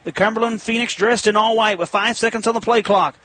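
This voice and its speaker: A man with an American accent calling live football play-by-play on radio in emphatic, punchy phrases that build toward shouted calls. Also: high-pitched, steady tone, a little fast, a little hiss or hum.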